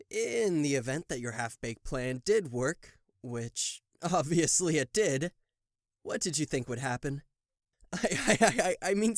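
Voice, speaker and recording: Guy doing a cocky sarcastic demon king, kinda high voice, showy, roleplay audio, super dry close sound. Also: no room sound, heavily acted. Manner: sarcastic, showy, stammering in disbelief. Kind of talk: roleplay audio drama acting addressed to the listener.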